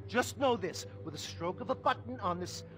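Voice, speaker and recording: A man's voice: high voice, expressive, moderate pace. A man playing a greedy, grandiose, megalomaniac middle-aged finance tycoon in a satirical video game film. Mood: gloating. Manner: pompous, grandiose, villainous.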